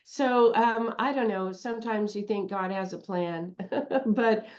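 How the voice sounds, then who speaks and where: A middle-aged woman, a guest life coach, chatting in an animated, good-humored way and laughing easily. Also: mid-range voice, unhurried. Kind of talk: casual interview chat. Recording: dull lo-fi sound.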